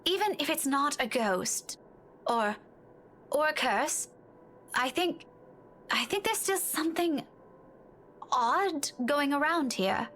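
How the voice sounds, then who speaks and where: Young woman, high voice, worried, earnest, hesitant pleading, visual novel game, faint noise behind. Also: expressive, slow pace, long pauses, a bit bright, full character voice. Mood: hesitant, uneasy.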